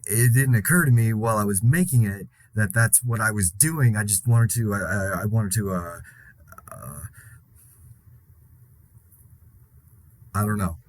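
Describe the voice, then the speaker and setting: An actor plays an anxious man broadcasting alone through a zombie apocalypse in an audio drama. His voice sits fairly low. He talks in a conversational, confessional way, rambling and halting, with a dry sardonic edge. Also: lightly acted. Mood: rambling, sheepish. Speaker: podcast host in a zombie-outbreak audio drama.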